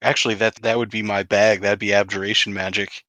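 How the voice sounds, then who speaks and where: A man with a forceful, bright voice on the lower side talks as a player in a tabletop fantasy game. His speech is informal and inquisitive, with the odd mild swear, in a very dry recording. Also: level tone, brisk, no echo. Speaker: tabletop role-playing player. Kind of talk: casual in-game chat.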